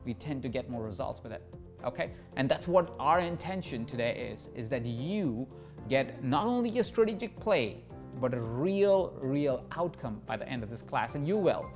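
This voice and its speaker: A man's voice: medium pitch, animated, normal speed, a little mellow, soft background music. Encouraging man hosting a masterclass. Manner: encouraging, repetitive, asks listeners to reflect. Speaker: host of a coaching masterclass. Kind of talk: motivational teaching.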